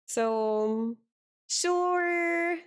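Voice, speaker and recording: A woman's voice: very high voice, lively intonation, drawn-out words. A young woman with an Italian accent, chatting casually with her stream audience, often repeating words and reading chat messages aloud.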